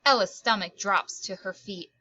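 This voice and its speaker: A woman storyteller reading a children's picture book aloud in expressive narration, with dramatic, deliberate phrasing. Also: mid-range voice, no echo, lo-fi sound.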